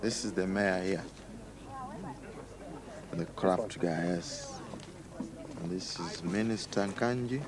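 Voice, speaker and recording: A man slowly pointing things out and saying his group has no politics. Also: low voice, crowd noise.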